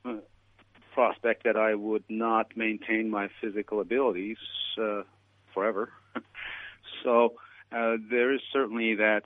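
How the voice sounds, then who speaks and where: A man from Turkey answering interview questions in a measured, reflective way. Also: fairly low pitch, dry recording. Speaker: guest. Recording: tinny phone line.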